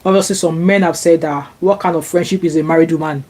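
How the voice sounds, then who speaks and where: A woman's voice: deep voice, fairly even intonation, fast talker, a little mellow. A Nigerian woman whose first language is Yoruba, chatting casually on a live stream as she reads viewer comments, with many fillers and restarts. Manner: chatty, frequent fillers and restarts, rises when indignant. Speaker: vlogger reading viewer comments. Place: home, sunny Glasgow. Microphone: laptop.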